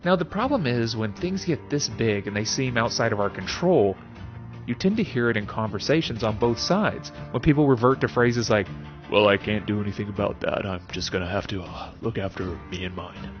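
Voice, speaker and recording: A man presents a spoken essay as a show host, his pitch swinging widely as he leans on words for theatrical effect. He is wry and fond of rhetorical questions, dropping casual asides along the way. The sound is a little dull, with music underneath. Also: expressive, a little fast.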